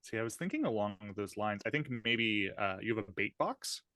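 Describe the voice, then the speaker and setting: A man's voice: lowish voice, sing-song, brisk, pushed and bright, dry recording, studio quality. A man chatting casually as a player in a tabletop game on an online call. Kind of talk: casual chat. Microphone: online group call.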